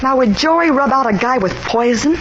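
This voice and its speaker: A sharp-tongued young fiancée on old radio. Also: female voice, sits high, animated. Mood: urgent. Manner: scornful, blunt, quick retorts. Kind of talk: 1940s radio acting. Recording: dull, noisy old radio broadcast.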